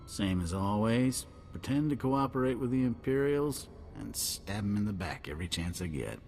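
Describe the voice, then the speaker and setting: A man's voice: on the low side, big pitch swings, moderate pace, darkish tone. An actor playing a sly, wry older man, a laid-back survivor in a video game. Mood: wry, pleased. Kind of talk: video game cutscene acting. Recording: long hall reverb, music behind.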